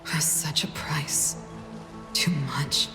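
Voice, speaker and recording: An actress playing a guarded, brooding female assassin with a cool, measured delivery in a game. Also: sits low, fairly even intonation, over music. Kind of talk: game character dialogue and solemn monologue.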